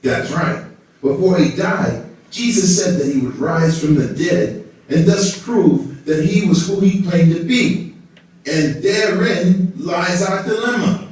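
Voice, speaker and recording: A man's voice: high voice, lively intonation, slow pace, darkish tone. Man playing a formal council-member puppet, very echoey. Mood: scheming. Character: evasive, self-important male religious council member puppet. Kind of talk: scripted puppet show dialogue. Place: indoor hall, behind a puppet stage. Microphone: far camera in the audience. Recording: echoey room, distant audience camera.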